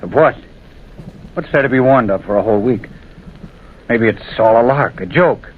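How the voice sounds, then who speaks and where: A man's voice: medium pitch, expressive, moderate pace, lightly acted. An actor playing an anxious railway signalman near breaking point, fretful and pleading, in an old-time radio drama. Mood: weary, haunted. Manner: fretful, pleading, repeats himself. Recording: muffled, hissy old radio recording.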